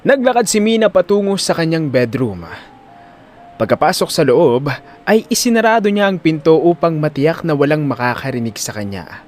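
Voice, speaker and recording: Animated Filipino male storyteller. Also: fairly high pitch, normal speed, faint music behind. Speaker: narrator of an audio drama. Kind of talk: dramatic scripted story narration.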